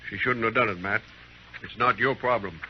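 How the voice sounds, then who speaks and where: An actor playing a proud, resigned blacksmith in an old-time radio western, grave and plain-spoken. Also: male voice, on the low side, moderate pace, natural voice. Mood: wary. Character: proud, resigned frontier blacksmith facing a fight. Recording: muffled, noisy vintage radio recording.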